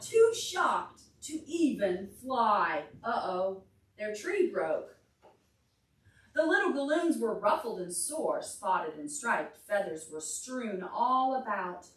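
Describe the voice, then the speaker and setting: A woman children's librarian reading a picture book aloud expressively to preschoolers, pausing to explain simply and ask them questions. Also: on the high side, fairly bright tone, far from the mic. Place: large carpeted indoor library meeting room. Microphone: distant camera microphone. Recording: roomy, picked up from across the room.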